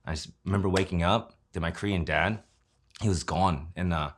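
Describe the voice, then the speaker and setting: A man's voice: low voice, fairly even intonation, moderate pace, a little hiss or hum. A man, telling his own story in an interview in a conversational way, often using fillers and tag questions. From Kansas City, Missouri, USA.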